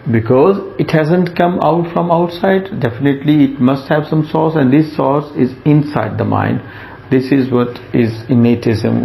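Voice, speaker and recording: A male lecturer talks through his slides at a patient, explanatory pace, circling back over each point. His voice is low-toned and mellow with a gravelly edge. A faint hiss of noise sits under the recording. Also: low-quality recording. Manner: slow, explanatory, repeats points with fillers.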